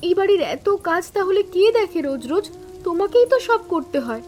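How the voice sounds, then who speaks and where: A woman playing a young, caring but anxious city-bred younger daughter-in-law in an animated family story, expressive and full of questions. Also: very high-pitched, fairly quick. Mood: alarmed, complaining.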